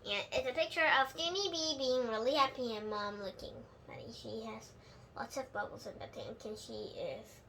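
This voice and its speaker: A female storybook reader with a very high, bright voice. She reads aloud for children and now and then stumbles over a word. Faint noise runs behind the recording. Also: normal speed. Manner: stumbles and rereads words. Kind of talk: children's book read-aloud.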